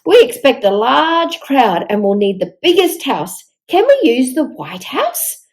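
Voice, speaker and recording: A woman's voice: high voice, big pitch swings, a little slow, heavily acted. A woman voicing a courteous town mayor in a children's storybook, with a formal, announcing manner. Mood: excited announcing.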